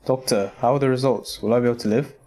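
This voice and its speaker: A man's voice: mid-range voice, steady tone, quick pace, darkish tone, lightly acted. A man playing an anxious heart patient who is desperate to live, in an amateur audio drama. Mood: anxious, pleading.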